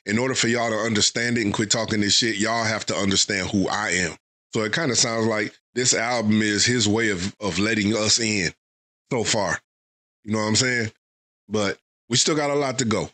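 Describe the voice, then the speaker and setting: A man's voice: on the low side, a little fast, bright, forceful tone. A man recovering from a head cold, giving loose, rambling album-review commentary with lots of fillers. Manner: loose, thinking aloud with many fillers. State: getting over a head cold. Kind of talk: casual reaction commentary.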